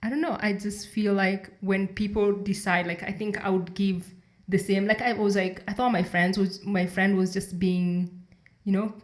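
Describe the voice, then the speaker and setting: A woman's voice: medium pitch, level tone, moderate pace, echoey room. A young woman from Kenya, co-hosting a podcast in casual conversation, hesitant and searching for her words. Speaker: podcast co-host. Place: indoor room, sitting on a couch. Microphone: desk condenser mic in front of her.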